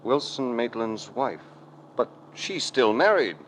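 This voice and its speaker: An actor playing a worldly American adventurer-detective with a measured, sincere manner in a 1940s radio drama. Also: male voice, mid-range voice, lively intonation. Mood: probing, concerned. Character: worldly, sympathetic American adventurer-detective hero, narrating his tale. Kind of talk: 1940s radio acting and noir narration. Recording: noisy old radio recording.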